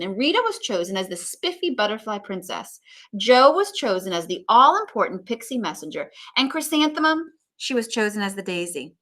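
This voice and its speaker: Lady teacher reading a kids storybook out loud, bright voice, really lively, little asides to the kids. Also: a little high. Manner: animated, pauses for asides to child listeners. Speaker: teacher reading a picture book aloud. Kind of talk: expressive children's story read-aloud.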